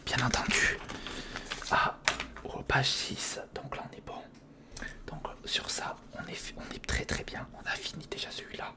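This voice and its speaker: Guy whispering asmr right up on the mic, breathy, chill informal step by step walkthrough, kinda reassuring. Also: a little high. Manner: informal, reassuring, talks listener through each step. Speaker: ASMR video creator. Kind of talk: whispered ASMR build-along. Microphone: close sensitive recorder microphone.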